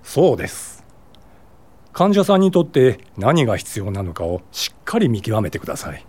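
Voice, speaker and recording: A grave but caring mentor in a radio drama. His voice is husky and on the low side, with plenty of movement as he instructs. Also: sing-song, normal speed, darkish tone. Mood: grave, instructive. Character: grave, caring American mentor in social work.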